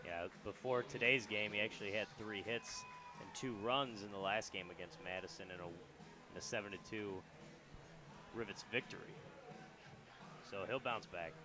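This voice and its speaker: A young adult man doing casual radio baseball commentary and play-by-play from a ballpark booth. He talks in a relaxed, chatty way with loose fillers. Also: fairly low pitch, moderate pace, long pauses.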